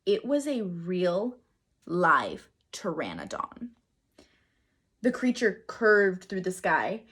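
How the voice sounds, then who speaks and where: A woman reads a children's storybook aloud like a teacher. Her delivery is slow and dramatic, with very varied pitch and deliberate pauses. The voice has a slightly husky grain. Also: a bit bright.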